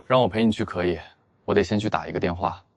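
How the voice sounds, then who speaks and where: A cool, clever man played by an actor with a fairly low voice. He speaks with restraint, in the style of an animated detective drama. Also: fast, creaky. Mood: guarded. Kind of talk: audio drama acting for animated comic.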